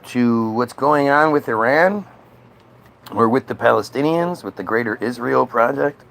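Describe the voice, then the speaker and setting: A man in a casual, combative political rant, dismissive and sweary as he answers chat comments. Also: medium pitch. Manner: frequent swearing.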